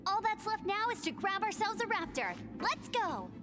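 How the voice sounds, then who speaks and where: A woman's voice: very high-pitched, very animated, moderate pace. A woman voicing a spirited, confident young female scout in a video game, upbeat, eager and playful, with music behind her. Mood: excited.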